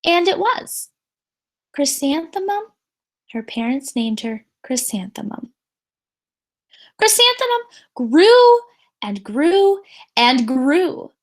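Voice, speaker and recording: Animated, high-pitched woman reading a storybook. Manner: deliberate, drawn-out emphasis on key words. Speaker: reader of a children's picture book. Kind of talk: expressive read-aloud storytelling.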